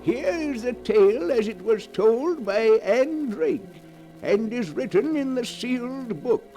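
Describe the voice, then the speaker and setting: An actor playing a mysterious keeper of forbidden tales, narrating theatrically in an old radio horror show. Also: male voice, very high voice, expressive, dark tone, heavily acted, rough recording. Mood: ominous. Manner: slow, theatrical, ominous. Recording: old 1940s radio recording with music.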